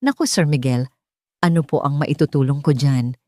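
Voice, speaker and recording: A woman's voice: low-pitched, moderate pace, mellow, no echo, studio quality, lightly acted. A woman narrator with a Filipino accent voicing a shy, deferential elderly housemaid in an audiobook story. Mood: humble, reluctant. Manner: deferential, self-effacing.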